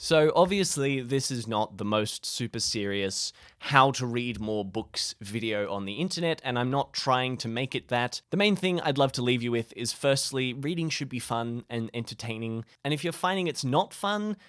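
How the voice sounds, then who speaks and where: A man's voice: mid-range voice, lively intonation, moderate pace, fairly bright tone, smooth, no room sound, studio quality. A man talking casually to camera like a vlogger giving friendly tips, informal and jokey with a self-deprecating streak. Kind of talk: casual, chatty advice to camera.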